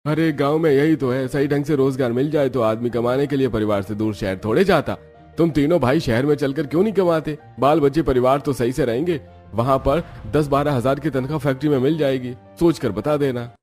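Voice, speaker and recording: A man's voice: medium pitch, a little fast, darkish tone, soft background music, close to an everyday voice. A man playing a friendly, practical village working man in a cartoon story, plain-spoken and advising. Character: village men off to city factory work, friendly, practical.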